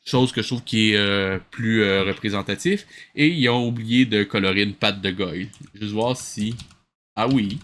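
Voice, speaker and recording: A man's voice: lowish voice, moderate pace, a bit bright. A man with a Québécois accent giving comic reaction commentary, incredulous and full of exasperated questions. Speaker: host reviewing a cartoon.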